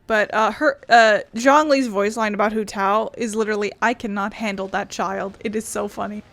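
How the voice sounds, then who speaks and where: A woman's voice: medium pitch, steady tone, moderate pace, a bit bright, no echo. A woman hosting a casual let's play, reading game text aloud and adding her own comments. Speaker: gaming video host. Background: game music.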